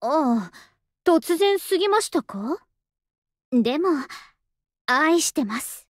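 A young anime game soldier woman with a high voice. She is languid and polite, with an understated affection, and her pitch swings widely. The sound is dry and close. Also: sing-song, takes it slowly, no room sound, full character voice. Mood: soft, affectionate. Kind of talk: Japanese anime game voice acting.

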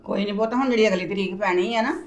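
A woman playing a worried mother of grown children, soft and reassuring. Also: medium pitch, fast, roomy sound, natural voice.

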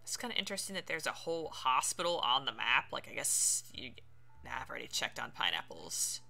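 A woman giving casual, animated commentary as she streams a video game let's play. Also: very deep voice, brisk, creaky. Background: game music.

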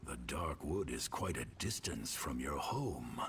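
A grave, courteous warrior hero in a fantasy game. His very low voice is gravelly and formal, with noisy ambience behind it. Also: very animated, measured pace, fairly bright tone, full character voice. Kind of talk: video game character acting.

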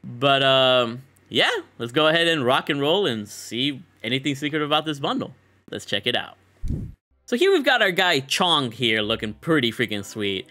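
A young man hosting a casual game showcase, talking in an excited, slangy way on a close microphone. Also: medium pitch, normal speed. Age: young adult. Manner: slangy, enthusiastic. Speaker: gaming content creator and host.

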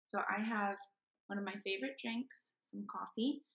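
A woman's voice: medium pitch, moderate pace, creaky, a bit bright. A woman in her twenties doing a children's read-aloud as a storyteller, recorded indoors at a desk. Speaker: after-school program storyteller.

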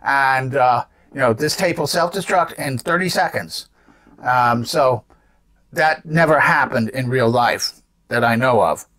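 A man's voice: mid-range voice, unhurried, a bit bright. A man in his seventies giving an unscripted gadget demonstration in an explanatory way, posing questions and answering them himself. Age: 70s. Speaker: presenter demonstrating vintage gadgets.

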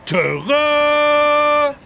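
A man voicing a big, good-natured, childlike talking elephant with a gravelly voice in a children's game. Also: very high voice, big pitch swings, very slow, bright, forceful tone, faint music behind, exaggerated voice. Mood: triumphant. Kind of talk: children's educational game voice acting.

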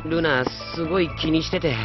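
An actor playing a hot-headed, sulky young junior soldier in a Japanese anime audio drama. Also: male voice, a little high, brisk, natural voice. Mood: defensive, whiny. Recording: dull lo-fi audio under music.

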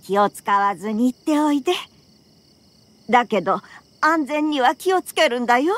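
Woman doing fussy sweet old grandma from an anime game, lively up and down voice, folksy scolding but caring. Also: fairly high pitch, normal speed, dry recording, natural voice. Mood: tender, caring. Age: older. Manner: folksy, chiding yet caring elderly speech. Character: kindly, fussing, doting old granny. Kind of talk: Japanese anime game voice acting.

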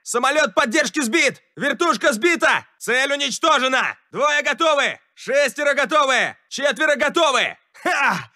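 A high-shouting, commanding soldier. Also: male voice, lively intonation, measured pace, heavily acted. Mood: urgent shouting. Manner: clipped, commanding, urgent. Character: terse male Russian special forces soldier in combat. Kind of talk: video game combat voice lines, military radio-style callouts.

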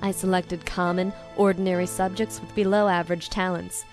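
A woman plays a calculating female scientist from an anime drama. Her voice sits fairly low, and she speaks with theatrical composure and a mocking, coaxing self-assurance. Music plays faintly underneath. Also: level tone, brisk, a little mellow, lightly acted. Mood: proud. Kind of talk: dramatic anime dub acting.

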